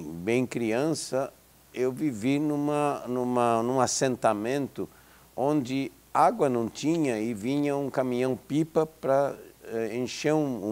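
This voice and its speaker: A man's voice: medium pitch, darkish tone. Older man, hesitant and reflective. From Israel. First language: Hebrew. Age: 70s. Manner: hesitant, with many restarts and fillers. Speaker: interview guest, psychology professor. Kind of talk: reflective interview talk.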